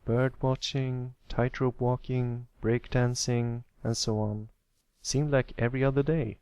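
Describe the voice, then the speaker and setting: A kindly older brother pony, voiced by a man reading aloud, talks in a medium-pitched, relaxed voice. He is encouraging and easygoing, with a dry, close sound. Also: measured pace, smooth, no room sound, highs cut off, close to an everyday voice. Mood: reminiscing.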